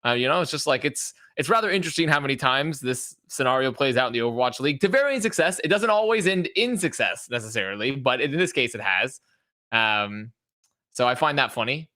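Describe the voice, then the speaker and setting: Bright-voiced man chatting about esports. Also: mid-range voice, animated, brisk. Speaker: esports podcast panelist. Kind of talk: casual match analysis.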